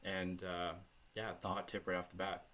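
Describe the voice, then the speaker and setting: A Canadian man, a bassist, chatting as a podcast guest in a relaxed, rambling way. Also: normal speed. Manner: relaxed, rambling, with many fillers and restarts. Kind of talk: casual interview chat.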